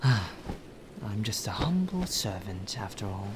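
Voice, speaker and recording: A man acting as a polite, deferential male butler in a soft, formal ASMR audio roleplay. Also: on the low side, big pitch swings, background noise. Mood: musing, insinuating. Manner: formal, deferential, measured, sighing.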